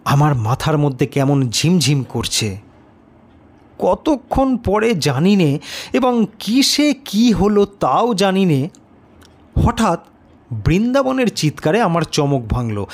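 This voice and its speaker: A man narrating a horror story in the first person, with an expressive, deliberate and suspenseful delivery. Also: fairly high pitch.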